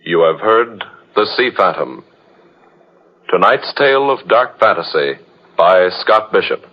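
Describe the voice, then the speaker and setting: A man's voice: sits low, lively intonation, unhurried. A man announcing credits in the style of an old-time radio show. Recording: muffled old radio broadcast.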